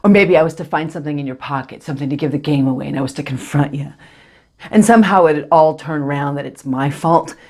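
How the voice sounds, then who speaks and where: A woman performing a dramatic stage monologue as a hurt, bitter wife confronting her husband, intense and controlled, with a breathy edge. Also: sits low, fast, a little mellow. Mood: bitter, accusing. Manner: intense, accusing, controlled, dropping to near-whispers.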